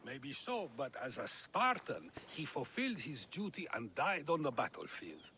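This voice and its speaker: A man acting a witty, philosophical ancient Greek sage in a video game, speaking in a measured, eloquent way. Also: mid-range voice, big pitch swings, sharp tone, a little hiss or hum. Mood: solemn, consoling.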